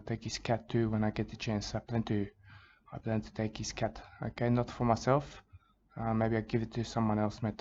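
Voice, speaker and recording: Aussie bushman, hushed flat mumble, low voice, dull lo-fi sound, as a man puts him on. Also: slight background noise, close to an everyday voice. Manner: hushed, mumbling, repeats "mate" and "I can tell you". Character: rambling male Aussie bushman, soft-spoken, plotting revenge. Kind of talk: parody soothing wildlife narration. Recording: dull lo-fi recording.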